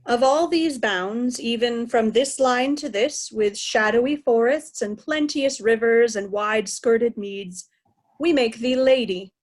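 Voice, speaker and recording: Casting: woman as stately old monarch, higher-pitched voice, commanding, classical stage verse. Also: unhurried. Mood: pleased, commanding. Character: aging king, imperious, dividing his realm among daughters. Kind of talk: Shakespearean reader's theatre.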